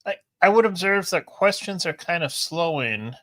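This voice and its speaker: A man co-hosting a casual live video-call chat, low-key and keeping things moving. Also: expressive, moderate pace, fairly bright tone, dry recording. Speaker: co-host.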